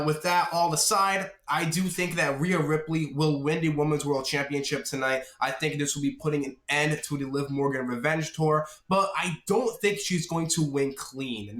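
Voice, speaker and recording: A man hosting a casual solo wrestling vlog, explaining his opinions informally, with a slightly raspy voice from a sore throat he is getting over. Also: a little high, fairly bright tone. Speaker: host of a wrestling prediction video channel. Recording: echoey, roomy sound.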